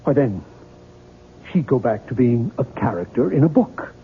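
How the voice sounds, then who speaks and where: An actor playing a tormented, obsessive novelist in a 1970s radio drama, with earnest, dramatic phrasing. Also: male voice, mid-range voice, lively intonation, normal speed, a little hiss or hum, close to an everyday voice. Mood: anguished, obsessed. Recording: muffled narrow-band old radio broadcast.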